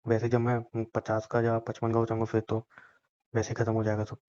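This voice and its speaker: A man's voice: on the low side, monotone, normal speed, darkish tone, clean tone. Young man teasing on a dull phone line. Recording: dull lo-fi phone call recording.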